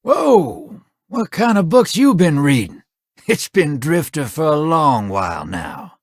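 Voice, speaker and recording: A man's voice: on the high side, sing-song, takes it slowly, no echo, clean studio sound, heavily acted. An actor playing a wry, roguish, world-weary male drifter in a video game, with a drawling, sardonic delivery.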